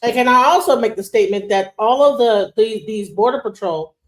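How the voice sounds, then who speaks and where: A woman's voice: on the high side, lively intonation, fairly quick. A woman co-hosting a casual talk show, making emphatic points and talking over the others. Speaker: co-host. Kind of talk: casual chat reacting to a video. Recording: roomy echo.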